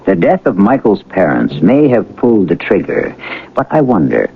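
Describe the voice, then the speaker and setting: A man hosting a radio drama with scripted suspense narration, deliberate, rhetorical and portentous. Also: fairly low pitch, wildly swooping pitch, gravelly, a little hiss or hum. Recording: muffled vintage radio broadcast with music.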